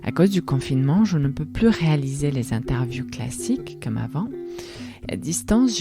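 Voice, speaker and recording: A woman in her thirties, a podcast host speaking in a language that is not her first, delivering a thoughtful, questioning monologue. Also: low-pitched. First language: not French. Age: 30s. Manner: thoughtful, questioning, soft-spoken.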